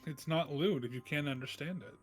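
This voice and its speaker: A man's voice: fairly high pitch, a little fast. A man joking casually in a group livestream chat and swearing freely. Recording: music playing behind the voice. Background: music.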